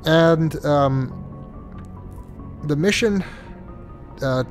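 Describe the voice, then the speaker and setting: A man's voice: a little high, drawn-out words, soft background music. Stuffy-nosed man doing game commentary. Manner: hesitant, with many ums and uhs. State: congested, stuffy nose. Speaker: let's play host. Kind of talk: casual gameplay commentary.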